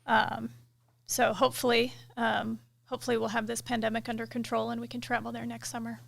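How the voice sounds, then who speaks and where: A middle-aged woman, a military pilot, talking through her career as a guest in a casual interview, relaxed and thoughtful, with many fillers. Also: fairly high pitch, fairly quick, vocal fry. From United States. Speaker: KC-135 pilot and director of inspections, guest.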